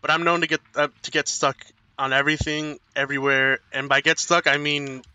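A man's voice: fairly high pitch, flat delivery, a little fast, sharp tone, clear, resonant tone, rough recording. A young man with no accent commentating his game at home, rambling and apologizing as he tells self-mocking stories. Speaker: gaming streamer. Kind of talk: casual let's play commentary.

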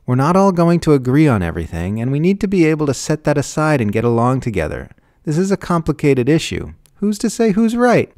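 A man's voice: on the high side, huge pitch swings, a little fast, dry recording, studio quality, voice pushed up. A man voicing a sweet, oblivious old grandmother who talks in a chirpy, placating way. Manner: chirpy, cooing, gently placating.

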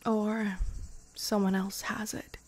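A woman voicing a loving young vampire girlfriend in an intimate, improvised ASMR roleplay, tender and hushed, often slipping into whispers, close on the microphone. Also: mid-range voice, level tone, takes it slowly, breathy edge. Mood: tender, confessional. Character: loving young vampire girlfriend, playful yet afraid of loss.

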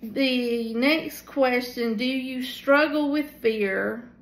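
A tired woman in her forties leading a casual Bible study from a small room at home, talking into a phone held at arm's length, with many pauses and fillers. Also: high-pitched, reverberant, poor audio. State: tired at the end of the day. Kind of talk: casual devotional teaching. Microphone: phone camera at arm's length.

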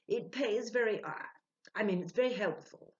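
A woman's voice: medium pitch, normal speed, voice pushed up, close to an everyday voice. A woman in her sixties voicing an earnest, self-important male spirit medium in a storybook reading, emphatic and self-justifying. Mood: eager, emphatic. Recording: dull lo-fi home recording.

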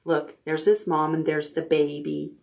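A middle-aged woman hosting a children's storytime, reading aloud in simple, expressive language for toddlers. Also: sits low, measured pace, creaky. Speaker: library storytime host. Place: indoor room, recorded on video.

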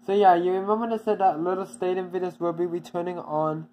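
Casual channel update, repetitive, stop-start delivery, middle pitch, soft background hum. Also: level tone. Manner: halting, repeats itself often, many restarts. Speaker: channel owner giving an update.

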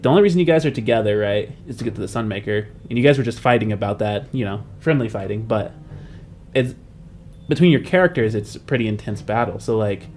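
A young man of average pitch chats over background music. He sounds informal and a bit halting, reworking his phrases as he talks through a tabletop game story. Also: darkish tone. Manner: informal, halting, rephrases questions as he goes. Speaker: podcast panelist posing questions. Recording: music playing behind the voice.

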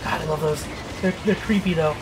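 A man co-hosting a let's play with casual, excitable, jokey and sweary commentary. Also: a little high, fairly quick, background noise. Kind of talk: casual video game commentary. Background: horror game sound.

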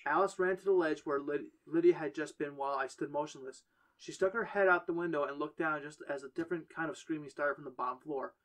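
A man with a slightly high voice reads a horror story aloud for friends. He pushes on through stumbles, correcting himself as he goes. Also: quick pace, fairly bright tone, low-quality recording. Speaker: podcast host reading a horror story.

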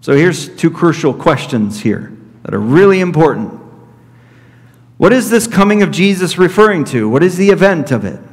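A man in his thirties, a pastor preaching an expository sermon from a pulpit, teaching deliberately and repeating his key points. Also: high-pitched. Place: church sanctuary at a pulpit. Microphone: fixed camera at middle distance. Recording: reverberant church hall.

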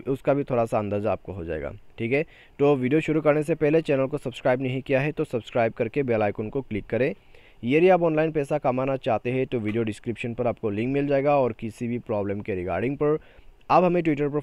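Guy doing a casual step by step phone how-to, mid pitched voice, dry close recording, keeps checking in. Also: a little mellow, dry recording. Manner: repeatedly tags sentences with a check-in phrase. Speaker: tech tutorial YouTuber. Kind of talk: informal step-by-step phone tutorial narration.

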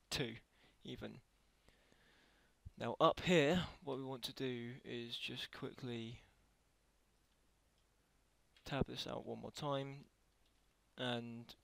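A man giving a casual software tutorial as a voice-over, talking haltingly and correcting himself as he goes. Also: mid-range voice, vocal fry, no room sound. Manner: halting, self-correcting while typing. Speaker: tutorial creator.

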